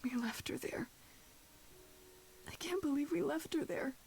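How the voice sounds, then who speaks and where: A woman's voice: high-pitched, a little slow, vocal fry, darkish tone. An actress playing a distraught, grieving young woman in a dramatic video game, with an emotional, pleading manner. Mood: guilty grief.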